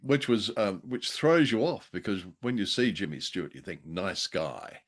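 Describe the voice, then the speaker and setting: A man with a low-pitched voice, middle-aged or older, chats casually as co-host of a review show. He rambles drolly from one aside to the next, with a wry touch. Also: lively intonation, moderate pace.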